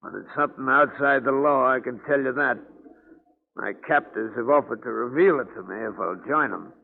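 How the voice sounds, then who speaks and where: Casting: man as anguished imprisoned captain, creaky, halting, grave, on a narrow vintage radio recording. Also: medium pitch, close to an everyday voice. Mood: grave, weary. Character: weary, fearful captive ship's captain. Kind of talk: 1940s radio drama acting. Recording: muffled, band-limited vintage radio.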